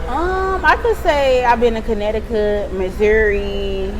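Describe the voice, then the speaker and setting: A woman guest chatting in a relaxed, slangy way. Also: a little high, a little slow, background noise. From United States. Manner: relaxed, slangy, laughs easily. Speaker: interview guest, business owner. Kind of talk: casual interview chat.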